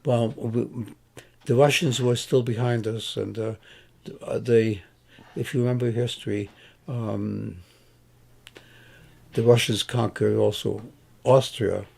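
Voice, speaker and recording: A man in his eighties from Hungary, recalling his past in a halting, casual way with many pauses and fillers. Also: mid-range voice, fairly even intonation, gravelly, darkish tone, slightly rough. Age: 80s. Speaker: interviewee grandfather. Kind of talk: casual recollection.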